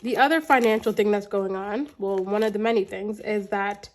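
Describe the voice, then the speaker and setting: A woman in her thirties talks to her camera in an easy, rambling vlog style, starting sentences over and filling gaps with little ums. Her voice is slightly raspy and congested from a lingering cold. Her pitch sits at an ordinary middle height. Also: steady tone, moderate pace, slight background noise. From Canada. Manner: chatty, rambling, frequent restarts and ums. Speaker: budgeting vlogger. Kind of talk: casual chat to camera.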